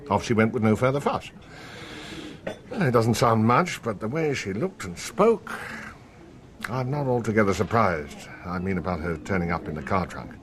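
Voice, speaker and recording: An actor playing a wry, world-weary French police inspector in a naturalistic radio drama, sardonic and colloquial. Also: male voice, lowish voice, expressive, moderate pace, natural voice. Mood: wry recounting.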